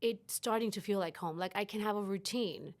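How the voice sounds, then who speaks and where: A woman's voice: mid-range voice, a little fast. A middle-aged woman from Chile, a podcast guest talking openly and reflectively in a casual interview, often restarting her sentences. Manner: reflective, open, with frequent restarts.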